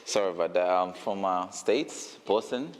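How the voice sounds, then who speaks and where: Casting note: very low-voiced man, hesitant interviewee, long reverb on the track. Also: moderate pace. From Uganda. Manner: hesitant, many fillers and restarts. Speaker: brand founder. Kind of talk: casual interview answers.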